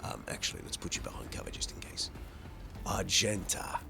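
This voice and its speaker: A man's voice: low-pitched, flat delivery, normal speed, gravelly, whispered, music in the background. A man hosting a video game playthrough, reading story narration dramatically and commenting casually on play.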